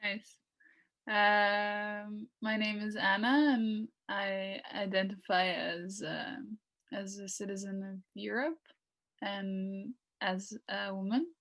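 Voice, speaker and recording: Young woman, video call moderator, medium pitch, friendly, apologetic. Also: steady tone, slow pace, a bit bright. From Norway. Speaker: discussion moderator. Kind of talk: casual video call chat.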